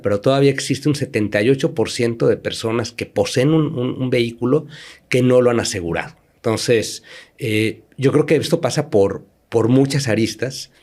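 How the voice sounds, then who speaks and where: Man, podcast guest, explanatory, middle pitch, halting fillers. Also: a little mellow. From Mexico. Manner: explanatory, with frequent fillers and repeated words. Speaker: insurance company general manager, guest. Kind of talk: podcast interview.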